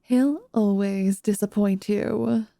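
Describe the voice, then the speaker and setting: Woman playing a slow, mellow, sardonic vampire paladin. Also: medium pitch, steady tone, vocal fry, no room sound, clean studio sound. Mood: scornful. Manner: sardonic, haughty. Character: proud, spiteful, teasing half-vampire female paladin. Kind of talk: fantasy audio roleplay.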